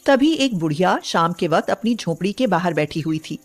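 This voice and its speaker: A woman's voice: fairly low pitch, expressive, normal speed, faint music behind. A woman narrating a scripted bedtime story as a storyteller.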